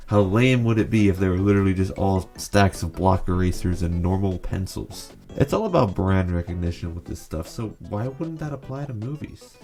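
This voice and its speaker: Low-voiced man in a sarcastic video essay. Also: a little mellow. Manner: sarcastic, opinionated. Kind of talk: scripted video essay commentary.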